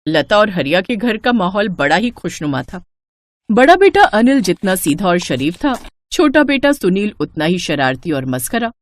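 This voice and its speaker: A woman's voice: medium pitch, very animated, normal speed, dry recording. A woman narrating a scripted storybook tale.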